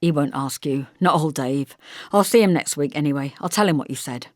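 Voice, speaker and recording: A woman's voice: deep voice, a little fast, darkish tone, no echo, clean studio sound, natural voice. A woman voicing an offhand, friendly young working man with casual, colloquial speech in an audiobook. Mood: wry, teasing. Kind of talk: audiobook character voice.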